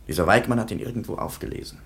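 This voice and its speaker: Deep gravelly police commissioner, tired, dry and matter-of-fact. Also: male voice, rapid-fire, a bit bright. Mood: stern. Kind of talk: radio play acting.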